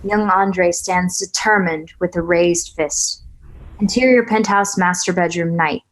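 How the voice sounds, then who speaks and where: A woman reading screenplay scene directions aloud at a table read, in an even, matter-of-fact way. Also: lowish voice, unhurried, rough recording. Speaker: stage-direction reader.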